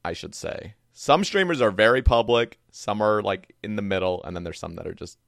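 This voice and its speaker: A man's voice: on the low side, moderate pace, vocal fry, fairly bright tone, dry recording, studio quality. A man hosting a podcast, chatting casually as he interviews a guest. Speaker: podcast host.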